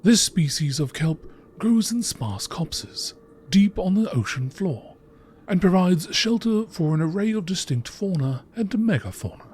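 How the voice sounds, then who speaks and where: A man reading scripted lore in a nature documentary narration style, with measured, deliberate phrasing. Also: sits high, sing-song, darkish tone. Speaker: video creator reading game lore aloud. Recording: clearly noisy background.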